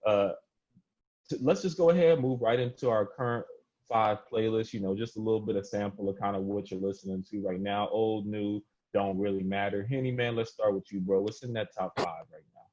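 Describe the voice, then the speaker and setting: Casual podcast host: American male, deep-pitched, informal and slangy, muffled lo-fi recording. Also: a little fast, darkish tone. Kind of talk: casual unfiltered round-table chat.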